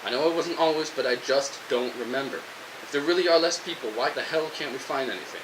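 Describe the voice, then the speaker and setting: A man's voice: mid-range voice, fast, lightly acted. A man playing a defiant, fed-up, hungry survivor in a post-apocalyptic audio drama, arguing with a sarcastic edge. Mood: frustrated, despairing. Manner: argumentative, sarcastic. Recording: steady hiss, noisy radio-style recording.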